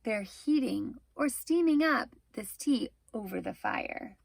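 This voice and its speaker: Female storyteller for a children's picture book, higher-pitched and unhurried, expressive and instructive, recorded dry. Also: gravelly, dry recording. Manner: expressive, instructive, addressing young listeners. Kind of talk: animated children's read-aloud with explanatory asides.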